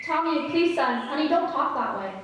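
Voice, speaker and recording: A woman's voice: sits high, animated, normal speed, recorded from a distance. A young woman playing a dismissive, placating suburban parent in a stage radio play. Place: school stage performance. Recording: very echoey hall, faint noise.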